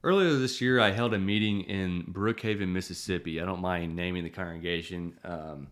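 Male podcast host and preacher, lowish voice, loose and self-deprecating. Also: moderate pace, music in the background. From Tennessee. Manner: chatty, self-deprecating, frequent filler words. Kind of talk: casual podcast chat and storytelling.

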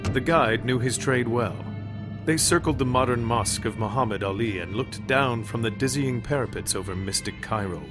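A man narrating an exotic mystery tale for a radio drama in literary, measured phrasing. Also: mid-range voice, close to an everyday voice. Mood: evocative. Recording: music behind the narration.

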